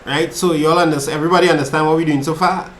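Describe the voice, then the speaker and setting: Man, Trinidadian accent, relaxed chatty maths tutor, roomy echo, faint background hum. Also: fairly high pitch, rapid-fire. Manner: relaxed, chatty, reads chat aloud while working problems. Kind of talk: casual livestream study session.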